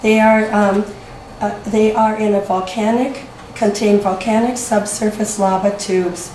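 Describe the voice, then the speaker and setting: A hoarse woman in her sixties gives a prepared talk at a lectern in a library meeting room, reading steadily and clearing her throat often, recorded by a far camera with no microphone. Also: medium pitch, level tone, unhurried, a little mellow, slight background noise. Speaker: community speaker. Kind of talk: prepared talk read from notes. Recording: roomy, distant camera recording.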